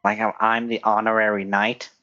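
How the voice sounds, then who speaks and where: Very low-voiced woman streamer, dull audio. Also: flat delivery, normal speed, sharp tone. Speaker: game streamer. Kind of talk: reading game dialogue aloud.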